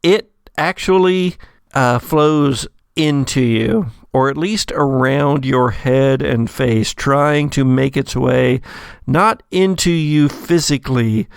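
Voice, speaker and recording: A man narrating a tabletop horror game as game master, with deliberate, suspenseful pauses. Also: medium pitch, gravelly, clean tone, no room sound, studio quality.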